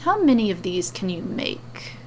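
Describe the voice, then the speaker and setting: A woman voicing a cold, menacing female villain in an audio story, deadpan and threatening. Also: mid-range voice, unhurried, slight background noise. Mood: cold menace.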